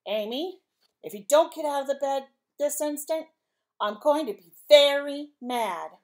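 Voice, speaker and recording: A woman doing a stern, loud storybook father. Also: a little fast. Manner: booming, emphatic commands.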